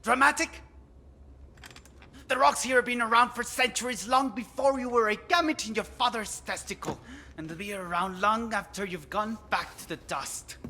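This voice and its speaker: A woman's voice: fairly high pitch, moderate pace, bright, forceful tone, a little hiss or hum. A woman voicing an arrogant, philosophical cartel captive in a game cutscene, condescending and theatrical in delivery. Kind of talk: dramatic video game cutscene acting.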